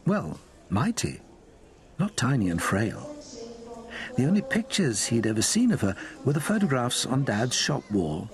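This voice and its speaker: A man's voice: mid-range voice, darkish tone. A man with a British accent narrating a children's story expressively from a script. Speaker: audiobook narrator.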